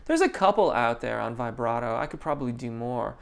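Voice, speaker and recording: A man's voice: mid-range voice, expressive, brisk, gravelly, clear, resonant tone. A man, a vocal coach, answering viewers' questions on a live stream, thoughtful and full of restarts and ums. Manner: reads viewer comments aloud.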